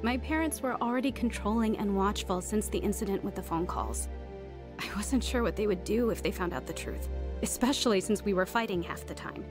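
A woman's voice: medium pitch, lively intonation, a little fast, close to an everyday voice. An actress playing a reflective young woman who narrates an audio drama in an earnest, confessional way. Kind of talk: scripted first-person drama narration. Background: music.

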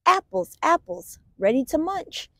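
A woman reading a picture book aloud for children in an expressive, deliberate storytelling manner. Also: very high voice, creaky, dry recording. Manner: expressive, deliberate storytelling with stressed sound words. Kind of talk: animated children's read-aloud.

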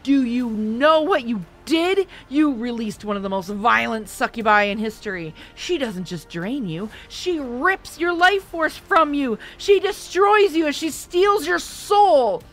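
A woman voicing a furious, cruel man leading a band of hunters, shouting and menacing. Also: medium pitch, unhurried, faint music behind, natural voice. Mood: raging.

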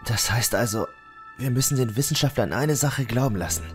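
A man playing a battered, stubbornly determined teenage boy, speaking earnestly and deliberately in an anime fan dub. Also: medium pitch, natural voice. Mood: earnest, explaining. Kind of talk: dramatic anime dub acting. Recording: fan dub with music underneath. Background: background music.

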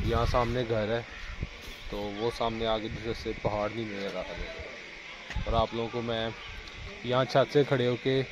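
A man in his thirties, chats casually to viewers while doing rooftop chores in his village, filming on a phone at arm's length. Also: mid-range voice. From Pakistan. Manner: chatty, explains to viewers as he works, teasing. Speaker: village vlogger. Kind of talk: casual vlog narration to camera. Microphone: phone camera at arm's length. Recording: noisy outdoor phone audio.

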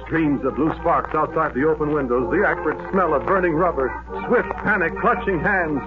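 A man's voice: on the high side, normal speed, close to an everyday voice. An actor playing an obsessed, lonely young man who narrates intensely in 1940s radio drama style. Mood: tense. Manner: intense, brooding first-person narration. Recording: dull old radio broadcast with loud music.

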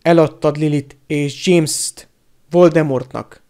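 A man's voice: a little high, steady tone, dark tone, lightly acted. A man voicing a vengeful, furious adult man betrayed by his friend, with snarling, accusing delivery in an audiobook. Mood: seething. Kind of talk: audiobook character acting.

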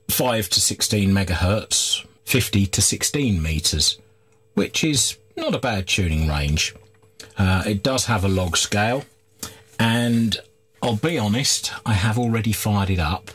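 A man's voice: on the low side, expressive, slow, fairly bright tone, slightly rough. A middle-aged man giving informal, candid hands-on commentary as he presents a repair video. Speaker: host of a radio repair video. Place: small cluttered workshop, indoors.

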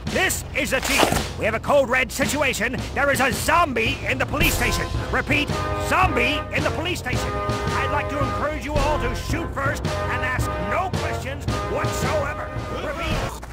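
An actor playing a belligerent, theatrical police chief in a video game, bombastic and threatening. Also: male voice, extremely high pitch, moderate pace. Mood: urgent. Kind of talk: video game cutscene acting. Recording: music behind the voice.

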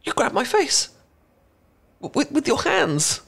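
A man's voice: very high-pitched, wildly swooping pitch, measured pace, creaky, fairly bright tone, exaggerated voice. A man with a British accent playing an adorkable, shy young chocolatier in an intimate ASMR romance roleplay, stammering and rambling awkwardly. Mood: startled, delighted. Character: adorkable, bashful young male chocolatier confessing a crush.

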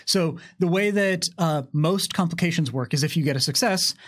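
American guy game mastering, kinda high voice, animated, explaining rules at a desk mic. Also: normal speed. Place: small curtained studio, indoors. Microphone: desk microphone on boom arm.

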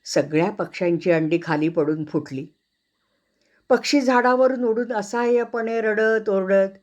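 A woman narrates a children's fable as a storyteller. Her voice has a middle pitch, and she delivers a scripted tale for young listeners. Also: darkish tone, highs cut off.

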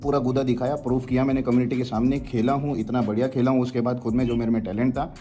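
A man's voice: medium pitch, level tone, fast talker, mellow. A man in his thirties, an esports player, talking bluntly and heatedly into a headset mic from a small room, repeating himself to press his points. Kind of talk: livestream talk and call recording. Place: small room, sitting in a gaming chair.

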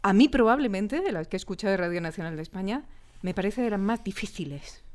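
A woman interviewer with a Spain accent speaks in a medium-pitched voice. She chats casually with her guests in a studio, sharing her own impressions. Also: expressive, fast talker, darkish tone. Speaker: interviewer, host. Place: radio drama studio.

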